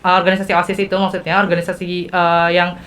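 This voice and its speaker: A woman's voice: fairly low pitch, flat delivery, fast, fairly bright tone. A teenage young woman, hosting a casual student podcast interview.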